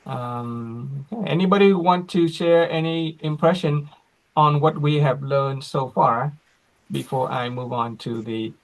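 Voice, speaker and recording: A man hosting an online class on a video call, slow and reflective, with frequent fillers. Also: medium pitch, steady tone, low-quality recording.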